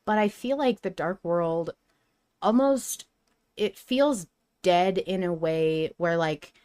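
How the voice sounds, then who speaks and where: Casual lower-pitched woman podcast host. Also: takes it slowly, darkish tone, clean tone.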